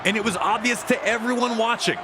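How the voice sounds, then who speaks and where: Guy with a high voice doing emphatic dramatic wrestling narration, really loud noise behind him. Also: fairly even intonation, brisk. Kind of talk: dramatic scripted sports narration.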